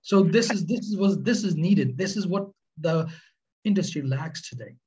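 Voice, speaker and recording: A middle-aged man with a Swedish accent, presenting on a webinar in earnest, halting speech. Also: high voice, expressive, a little mellow, husky, low-quality recording. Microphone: webcam on video call.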